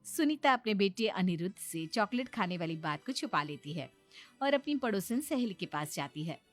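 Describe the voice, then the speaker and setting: A woman's voice: medium pitch, big pitch swings, moderate pace, faint music behind. A woman narrating a cartoon story.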